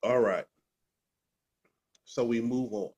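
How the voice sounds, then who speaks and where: A man's voice: medium pitch, slow pace, darkish tone. A sick, congested man co-hosting a podcast, rambling through a fantasy football recap with many fillers and restarts. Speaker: podcast co-host.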